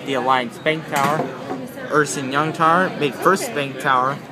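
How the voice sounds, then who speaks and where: Man murmuring landmark names. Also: moderate pace. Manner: lists landmarks in a low, matter-of-fact murmur. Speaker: amateur videographer filming a city view. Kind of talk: casual tour narration. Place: revolving rooftop restaurant at night. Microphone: handheld camera. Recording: busy restaurant chatter behind. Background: diners chatting.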